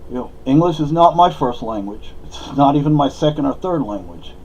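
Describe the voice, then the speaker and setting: A man's voice: medium pitch, expressive, normal speed, darkish tone, highs cut off. A man from Spain, a native Spanish speaker, giving a rambling, opinionated monologue with many fillers and restarts. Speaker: vlogger.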